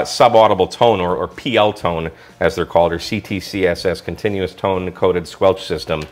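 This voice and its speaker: A man talking informally through a product review, explaining things step by step in a casual way. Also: on the low side.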